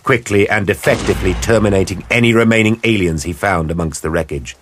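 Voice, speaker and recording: A man narrating an audio drama in a measured, grave storytelling style. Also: on the low side, background noise. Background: music.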